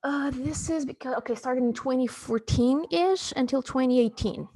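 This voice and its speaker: Woman, 30s, gravelly, dry close sound, rambling casual storytelling. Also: high-pitched, normal speed, mellow, no echo. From Portugal. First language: Portuguese. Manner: chatty, many fillers and restarts. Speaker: podcast host, interviewed as guest.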